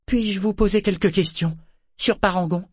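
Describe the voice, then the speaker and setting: Man voicing an eager, anxious young girl, high-pitched. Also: steady tone, normal speed, dry recording, studio quality. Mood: anxious, pleading. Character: anxious, eager adolescent girl, polite but insistent. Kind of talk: audiobook character dialogue.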